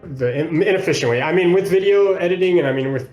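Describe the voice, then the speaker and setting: A man's voice: sits high, quick pace, darkish tone. A man co-hosting a podcast, explaining a book in a casual, hedging conversational way. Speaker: podcast co-host; freelance video editor. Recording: roomy echo, faint music underneath.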